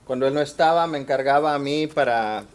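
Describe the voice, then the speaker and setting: A man with a Mexican accent giving a church workshop talk in short phrases, pausing between them. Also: mid-range voice. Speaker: workshop speaker.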